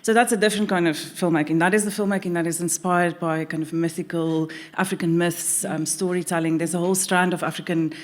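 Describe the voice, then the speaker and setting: A woman film scholar on a panel who speaks in a measured, academic way and repeats words while she thinks. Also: lowish voice, steady tone. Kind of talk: panel discussion.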